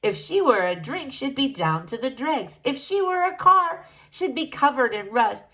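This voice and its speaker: A woman narrates a rhyming children's storybook aloud. Her voice is fairly high and extremely animated, rising and falling expressively through the verse. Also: normal speed. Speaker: storybook reader.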